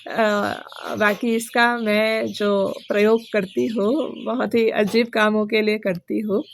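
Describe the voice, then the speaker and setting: A woman's voice: mid-range voice, slow. A woman vlogger with a sore throat, chatting casually to camera, hesitating often with fillers. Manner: relaxed, hesitant, many fillers. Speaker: vlogger and teacher.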